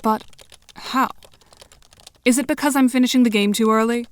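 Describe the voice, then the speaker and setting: A woman's voice: fairly high pitch, steady tone, moderate pace, natural voice. A woman playing a curt, sardonic, articulate teenage girl in an audio drama, with clipped and wordy diction. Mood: exasperated. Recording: faint noise with clicks.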